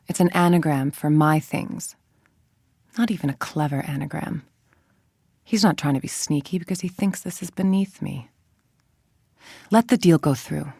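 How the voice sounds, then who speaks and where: Cold scheming scientist villain for a video game, low husky voice, controlled n menacing. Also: female voice, mellow, no echo, clean studio sound, exaggerated voice. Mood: scornful, calculating. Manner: clipped, controlled, authoritative and menacing. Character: cold, calculating middle-aged female villain scientist.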